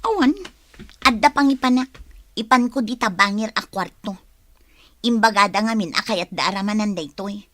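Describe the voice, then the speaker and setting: A woman's voice: medium pitch, normal speed, pauses often, fairly bright tone, dry recording, clean studio sound, close to an everyday voice. A woman playing a coaxing, easily miffed young job seeker in an audio drama, with a Filipino Ilocano accent. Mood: casual.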